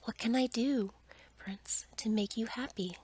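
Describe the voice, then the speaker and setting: Gentle, earnest young storybook heroine read by a woman, breathy whisper, noisy recording. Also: fairly high pitch, a little slow, natural voice. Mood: earnest, wondering. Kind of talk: whispered ASMR storybook reading.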